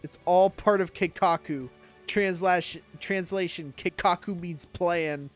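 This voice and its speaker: A woman's voice: on the low side, unhurried. A young adult woman giving casual, jokey live commentary on a video game, full of rambling asides. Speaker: game streamer. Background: video game music.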